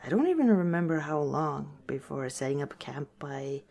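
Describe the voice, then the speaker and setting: A woman voicing a gentle, wistful female orc tavern owner in a soft-spoken, hesitant ASMR roleplay. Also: low voice, lively intonation, moderate pace. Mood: confiding.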